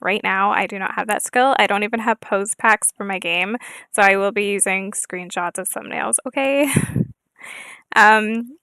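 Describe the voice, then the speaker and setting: A soft-spoken young woman hosting a casual gameplay video, talking hesitantly with many fillers. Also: medium pitch, moderate pace, clean tone, no room sound. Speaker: gaming channel host. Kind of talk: casual gameplay chat with read-aloud challenge text.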